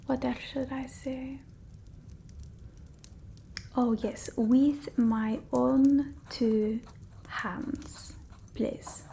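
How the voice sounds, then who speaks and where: A woman playing a haughty but fond vampire mistress in an ASMR roleplay, speaking softly and breathily, often whispering, formal and hesitant. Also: sits high, steady tone, gravelly, slight background noise, exaggerated voice. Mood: scornful, musing. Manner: slow, hesitant, formal, politely imperious.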